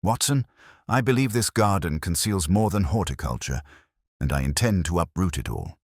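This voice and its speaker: A man voicing an incisive, commanding English detective in an audiobook, with measured, clipped delivery. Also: low voice, expressive, husky, dry recording, clean studio sound, exaggerated voice. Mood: cool, pointed.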